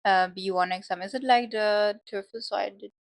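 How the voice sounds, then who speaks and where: A woman with a German accent co-hosting a casual podcast chat, encouraging and quick to agree. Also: mid-range voice, steady tone, gravelly, no room sound, clean studio sound. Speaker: podcast co-host.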